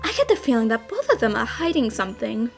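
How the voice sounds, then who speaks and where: A woman playing a curious, eager young female detective in an amateur anime fan dub, perky and expressive. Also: fairly high pitch, quick pace, vocal fry, soft background music.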